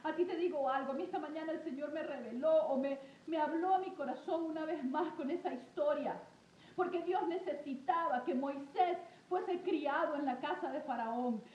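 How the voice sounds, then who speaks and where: A woman's voice: high voice, moderate pace, a little mellow. A woman leading a fervent prayer and exhortation, speaking emphatically and repetitively with frequent invocations. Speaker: prayer leader preaching to an online audience. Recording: roomy echo, faint background noise.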